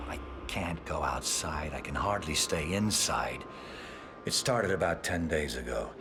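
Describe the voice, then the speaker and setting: An extremely deep male voice plays an ailing crime boss in a video game. He is gruff, blunt and impatient, complaining as he talks. A clear musical score runs underneath. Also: exaggerated voice. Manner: blunt, foul-mouthed, complaining. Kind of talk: video game cutscene acting.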